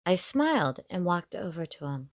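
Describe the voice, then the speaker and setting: A woman narrating a scripted story in the first person, in a measured, expressive storytelling manner. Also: lowish voice, no echo, studio quality.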